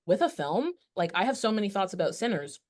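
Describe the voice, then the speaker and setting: A woman in her twenties, a TV critic guest, talking in a reflective, unhurried way with trailing fillers. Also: medium pitch, sing-song, a little mellow. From Canada. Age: 20s. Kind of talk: casual podcast chat.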